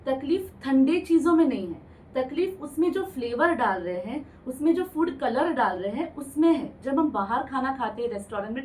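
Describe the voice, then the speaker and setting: A woman's voice: high voice, darkish tone, recorded from a distance, slight background noise. A woman ENT doctor explaining throat infections in a radio interview, patiently, mixing English medical terms into her speech. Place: radio studio.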